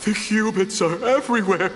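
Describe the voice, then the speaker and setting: A man's voice: sits high, normal speed, background noise, natural voice. A man voicing a small, boastful, panicky tanuki creature in a video game, stammering and blustering. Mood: alarmed. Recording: music behind the voice.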